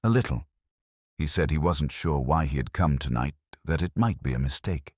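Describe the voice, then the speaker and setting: A man voicing a delicate, uneasy lady witness with a soft, hesitant manner in a storybook mystery. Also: extremely low pitch, animated, normal speed, no echo, clean studio sound. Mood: uneasy, subdued.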